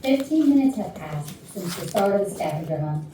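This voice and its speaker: A woman's voice: medium pitch, lively intonation, a little fast, dark tone. A woman reading scripted stage directions as narrator of a staged play. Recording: very echoey rehearsal room, distant, faint noise.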